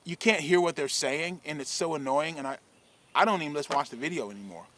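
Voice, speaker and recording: A man, speaking casually outdoors under a shade tree into a camera-mounted shotgun mic, explaining fishing-video gear in a relaxed, rambling way. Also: on the high side, fast, slight background noise. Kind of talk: casual gear advice vlog.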